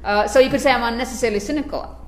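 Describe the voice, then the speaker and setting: Woman panelist, thoughtful, halting, fillers between ideas, roomy hall sound. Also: on the high side, slight background noise. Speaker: panel speaker. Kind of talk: academic panel talk. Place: lecture hall. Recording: echoey lecture hall.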